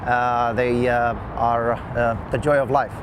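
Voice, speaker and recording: A man's voice: medium pitch, level tone. A middle-aged man recounts his work in an unscripted interview, hesitating often as he goes. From Lebanon. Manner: halting, with frequent long hesitations. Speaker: engineer interviewee. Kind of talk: oral history interview, unscripted recollection. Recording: loud outdoor traffic noise.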